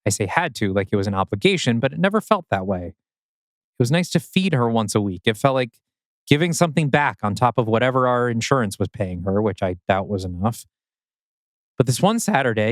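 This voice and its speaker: A man acts out a troubled father in his thirties in an audio drama reading. His delivery is animated and intense, pressing with bitter, pointed questions in a close, dry recording. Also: medium pitch, fairly quick, dry recording, natural voice. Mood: bitter, accusing. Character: anguished 39-year-old father, bitter and accusing.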